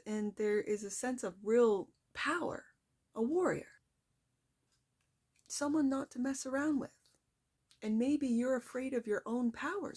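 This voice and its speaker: A soft-spoken woman hosting a casual tarot reading, talking straight to her viewers in a chatty, reflective way. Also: on the high side, husky. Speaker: tarot reader.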